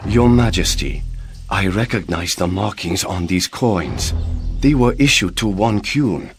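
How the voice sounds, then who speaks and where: An actor playing a stern, authoritative Korean prime minister in a dramatic video game cutscene. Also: male voice, low-pitched, expressive, measured pace. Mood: grave. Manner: authoritative, formal, solemn. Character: stern, commanding Korean prime minister of war-torn kingdom. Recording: game audio with music underneath.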